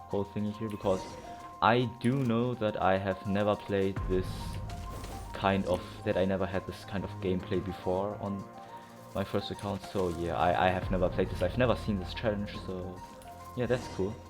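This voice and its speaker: Low-voiced young man playing a game. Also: fairly even intonation, moderate pace. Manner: rambling, slangy. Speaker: video game streamer. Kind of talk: casual let's play commentary. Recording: game music clearly behind. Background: video game music.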